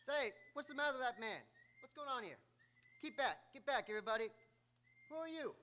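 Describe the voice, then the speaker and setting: An excitable onlooker in a radio drama, played by a man. The voice is high and very animated. He is blunt, streetwise and nosy. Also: brisk, heavily acted. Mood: agitated. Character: excitable, nosy male bystander on city street. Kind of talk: live old-time radio drama acting.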